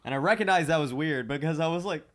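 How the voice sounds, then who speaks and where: A man chatting casually on a comedy podcast, telling personal stories in an animated, halting way and repeating words. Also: a little high.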